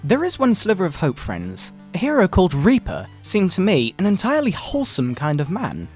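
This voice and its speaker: A man voicing a pompous, sanctimonious fundamentalist preacher parent in a mock-dramatic sermon, with theatrical, drawn-out emphasis. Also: high-pitched, huge pitch swings. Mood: pompous outrage. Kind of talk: mock-dramatic reading of a moral-panic sermon. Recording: background video game music.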